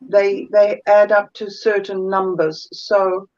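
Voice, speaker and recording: A woman with a South African accent, a guest energy healer chatting thoughtfully in an interview and often pausing to find her words. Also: medium pitch, level tone, darkish tone, lo-fi sound. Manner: thoughtful, searches for words, many fillers. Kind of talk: casual interview chat.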